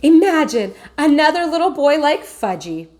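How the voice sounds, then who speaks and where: Amused fond mom, light and a bit high, read by a woman. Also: big pitch swings, moderate pace, a little mellow. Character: warm, amused mother.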